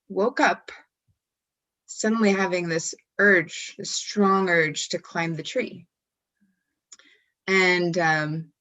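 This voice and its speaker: A woman guest who works as a wellness coach, telling a story casually in conversation and often pausing on "um" and restarting her sentences. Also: fairly low pitch, lo-fi sound. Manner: hesitant, many ums and restarts.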